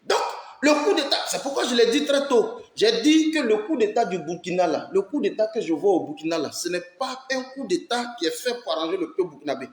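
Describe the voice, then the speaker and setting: High-voiced, emphatic man. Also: animated, a little fast. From Ivory Coast. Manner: emphatic, rhetorical questions, urges listeners to listen. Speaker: political commentator hosting his channel. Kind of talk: impassioned political commentary. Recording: roomy echo.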